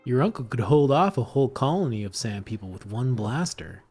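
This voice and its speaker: An earnest young rebel played by a man at a script read, speaking like a loyal friend sharing secrets. His voice sits at an average male pitch, with faint music playing underneath. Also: big pitch swings, brisk, a little mellow, breathy edge. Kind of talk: amateur table read of a film script.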